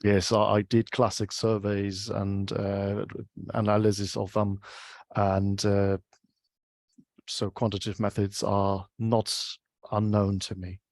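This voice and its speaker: A man with a German accent, a guest in an interview on a video call, speaking English as a second language and often pausing to search for words. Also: on the low side, completely flat delivery, darkish tone, no echo. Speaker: guest, UX researcher. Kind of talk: casual interview chat.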